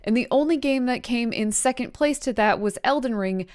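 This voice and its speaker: A woman's voice: a little high, normal speed, darkish tone. A woman giving a casual, chatty recap to camera, enthusiastic and full of emphatic asides. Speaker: video creator and wrestling reaction host. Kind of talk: casual scripted recap vlog.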